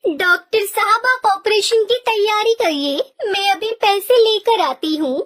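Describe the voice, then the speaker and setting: A woman's voice: very high-pitched, measured pace, fairly bright tone. A woman voicing a teenage girl sparrow in a cartoon story, a devoted daughter who speaks in a pleading, tearful way. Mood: desperate. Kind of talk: Hindi cartoon voice acting.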